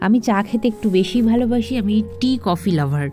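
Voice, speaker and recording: A woman's voice: mid-range voice, expressive, fairly quick, warm tone. A young woman vlogger speaks with a West Bengali accent over faint music. She talks in a familiar, chatty way, as if to friends, and drops English words into her everyday narration. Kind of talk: casual daily vlog narration addressed to viewers.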